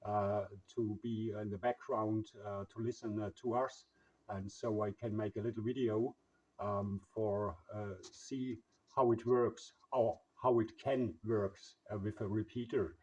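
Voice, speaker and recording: A man with a husky voice, chatting casually over radio in halting, English. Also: lowish voice, fairly even intonation, darkish tone. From Germany. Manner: halting, non-native English phrasing. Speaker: amateur radio operator and video maker. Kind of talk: casual radio contact chat. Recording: slightly dull, lo-fi sound.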